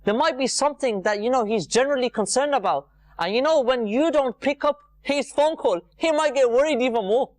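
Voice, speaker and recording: A man's voice: very high-pitched, brisk. A man giving an informal, earnest religious talk to viewers, repeating phrases for emphasis. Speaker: religious programme presenter.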